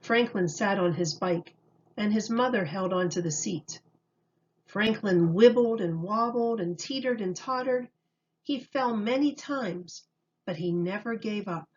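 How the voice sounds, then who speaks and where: A woman reading a children's story aloud like a teacher, in a deliberate, expressive way. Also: mid-range voice, highs cut off.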